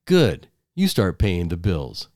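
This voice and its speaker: A man's voice: low-pitched, huge pitch swings, slow, a little mellow, dry recording, studio quality, heavily acted. A man voicing a weary, fed-up young husband.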